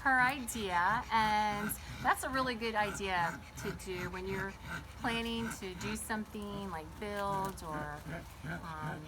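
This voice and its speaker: A woman hosting a children's storybook read-aloud outdoors, chatting in an animated way and putting questions to her young listeners. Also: mid-range voice, slow, background noise. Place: garden, sitting outdoors by a small table fort.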